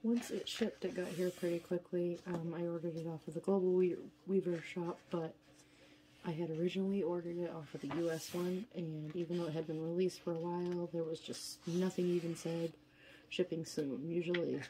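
A woman's voice: fairly low pitch, fairly even intonation, moderate pace, mellow, slight background noise, rough recording. A woman with a stuffy nose from a cold, chatting casually and a bit tiredly while unboxing at a table. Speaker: unboxing vlogger. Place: indoors at a table, filming hands from above.

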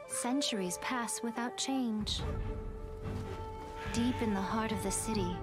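Lofty female legend-teller over loud music. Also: a little high. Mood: lofty, measured. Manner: measured, lofty, storytelling. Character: poised woman narrating an almighty ruler's legend. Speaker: game trailer narrator. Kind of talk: scripted dramatic game trailer narration.

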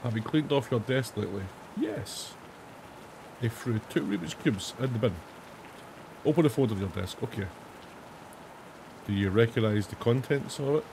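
A man in his thirties, hoarse and raspy after days of singing, hosting a casual gaming video where he reads questions aloud and answers them with jokes. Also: medium pitch, moderate pace, pauses often, noisy background. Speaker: gaming channel host. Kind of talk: casual let's-play commentary. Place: home room, indoors. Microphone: headset.

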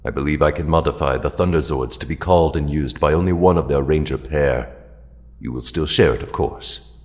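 A man playing an ancient alien mentor in an audio drama, speaking in a grave, measured, formal way. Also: rumbling low. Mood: calm, instructive. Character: ancient, solemn alien mentor to young heroes. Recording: long cavernous reverb.

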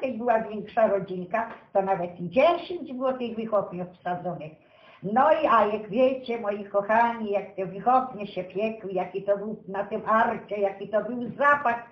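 Elderly woman, high-pitched and unhurried. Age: 70s or older. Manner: folksy, uses many diminutives. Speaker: storyteller recalling village childhood. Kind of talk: unscripted oral storytelling, reminiscence. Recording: roomy, slightly dull sound.

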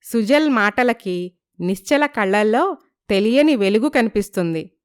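Clear-voiced woman narrating a story. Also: medium pitch, expressive, a little slow. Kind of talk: scripted moral story narration.

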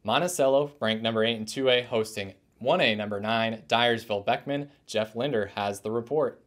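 A man's voice: medium pitch, normal speed, fairly bright tone. A young man hosting a sports recap, reading out scores in a scripted news style. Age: young adult. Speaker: sports show host. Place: small indoor room, plain wall.

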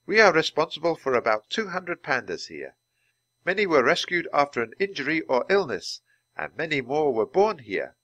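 A man lifts his voice to play a composed young woman scientist addressing a group. She speaks formally and carefully, in a clear, bright, storybook tone. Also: on the high side, big pitch swings, no echo, dull audio, voice pushed up. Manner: formal, measured, instructive.

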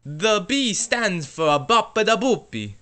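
High, hammy fake-Italian pizzeria owner. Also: male voice, very animated, sharp tone, exaggerated voice. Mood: boastful, hammy. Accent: exaggerated fake Italian. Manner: hammy, halting broken English with filler syllables. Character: shady, hot-tempered Italian pizzeria owner, a grown man. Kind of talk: comic character acting.

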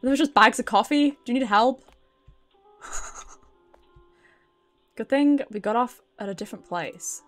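A British woman with a fairly high voice chats through a game stream. She reacts aloud to what is on screen and swears casually. Game music plays behind her. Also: measured pace, long pauses, a bit bright.

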